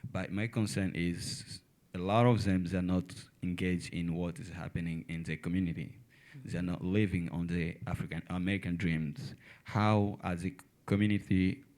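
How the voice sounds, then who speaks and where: A man's voice: low-pitched, darkish tone. A young man from the Democratic Republic of Congo with a Congolese accent, politely and formally asking a question from the audience.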